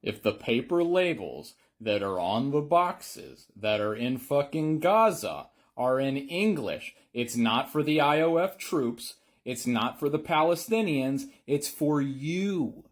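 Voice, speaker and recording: A man's voice: mid-range voice, lively intonation, slow pace, few pauses. A man ranting bluntly in a social media video, full of slang and swearing.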